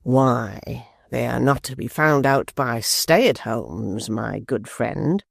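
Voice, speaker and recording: A woman voicing a scheming blind old man who speaks slowly and coaxingly. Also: extremely low pitch, gravelly, slightly rough, no echo, clean studio sound, full character voice. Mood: sly. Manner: slow, coaxing, insinuating.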